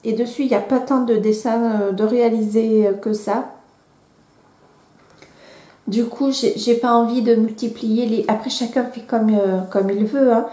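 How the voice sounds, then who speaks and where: A woman in her thirties with a cold chats casually about her hobby, full of hesitations and restarts. Her voice sits a little high and mellow, heard in an echoey room. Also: fairly even intonation, unhurried. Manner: hesitant, many fillers and restarts. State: has a cold. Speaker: vlogger. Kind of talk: casual chat.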